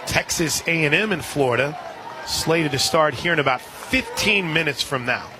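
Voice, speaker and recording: A man calling softball play-by-play on the radio, conversationally filling in scores and details between pitches. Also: animated, normal speed. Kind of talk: live softball radio commentary. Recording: outdoor stadium crowd behind the voice. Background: crowd noise.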